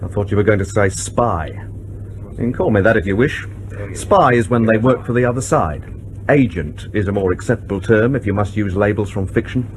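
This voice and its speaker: Actor as a low-voiced, sardonic agent. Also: male voice, a little fast, slight background noise, natural voice. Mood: dry, weary. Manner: dry, sardonic, grumbling. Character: cynical, nervy male British intelligence agent. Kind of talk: radio drama acting.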